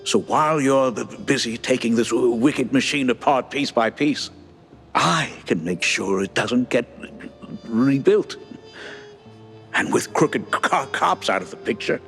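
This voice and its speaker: A man voicing an earnest, idealistic mouse in a cartoon game, an old war buddy with a heavy, halting stutter and a warm-hearted manner. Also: mid-range voice, soft background music. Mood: trusting.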